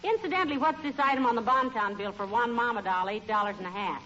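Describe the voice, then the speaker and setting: A woman's voice: a little high, fast talker, fairly bright tone, close to an everyday voice. A woman with an American accent playing a patient, wry middle-aged wife with a dry teasing manner in a 1940s radio comedy. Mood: teasing, accusing. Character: patient, wry wife who gently needles her husband. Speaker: comedy co-lead actor. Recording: dull, hissy old-time radio recording.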